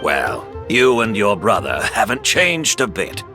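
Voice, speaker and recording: A man's voice: on the low side, big pitch swings, unhurried, music in the background. A man playing a genial, humble older master perfumer and mentor in a video game. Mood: welcoming. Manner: genial, self-deprecating.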